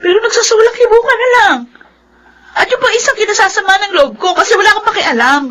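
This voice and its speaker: An actress playing a grieving, defiant daughter in an emotional, confrontational Filipino radio melodrama. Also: female voice, very high-pitched, fast talker, very noisy. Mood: bitter. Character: grieving, defiant daughter fiercely defending her dead father.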